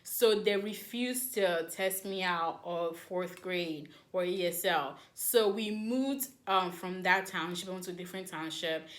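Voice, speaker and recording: A woman's voice: lowish voice, a little slow, few pauses, fairly bright tone. A young woman from Nigeria co-hosting a podcast, talking in casual chat and stressing her points by repeating them. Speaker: podcast co-host.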